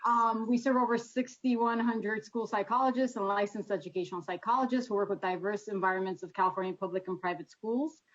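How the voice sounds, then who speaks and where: A woman commissioner giving an earnest, halting welcome during a video call meeting. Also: medium pitch, fairly even intonation. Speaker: commissioner, association president. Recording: dull lo-fi video call audio.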